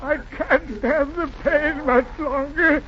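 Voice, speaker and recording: High, strained, suffering pioneer. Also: male voice, steady tone, bright, forceful tone, heavily acted. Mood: agonized. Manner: halting, strained, slow. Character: desperate, suffering American pioneer starving in the desert. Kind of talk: 1940s radio melodrama. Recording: muffled old radio recording, background voices.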